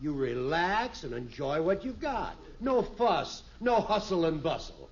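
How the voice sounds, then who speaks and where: A man playing a genial, flattering middle-aged American businessman in 1950s radio sitcom style, on a muffled recording. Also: on the high side, measured pace. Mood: jovial admiring. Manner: effusive, jovial.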